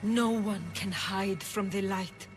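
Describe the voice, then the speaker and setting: A woman's voice: medium pitch, steady tone, slow, close to an everyday voice. An actress playing a solemn female oracle with a grave, commanding manner. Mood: urgent. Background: game score music.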